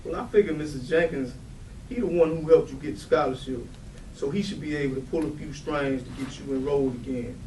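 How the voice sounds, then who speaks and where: A man's voice: on the high side, a little fast, a little mellow, natural voice. Man playing a weary big brother, roomy sound. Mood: subdued. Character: Tracy, weary protective older brother, quietly resolute. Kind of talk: staged play reading. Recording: roomy, distant mic, faint background noise.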